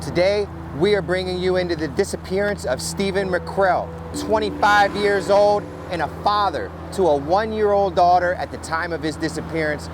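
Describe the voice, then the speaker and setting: A man hosting an investigation series, presenting to camera in a deliberate, emphatic way. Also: sits high. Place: outdoors at a gas station. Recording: noisy outdoor roadside audio.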